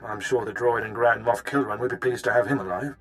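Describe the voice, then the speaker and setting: A man as a rapid-fire starship captain. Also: mid-range voice, steady tone, faint music behind, natural voice. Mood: brisk. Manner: clipped, military. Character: brisk, dutiful imperial starship captain.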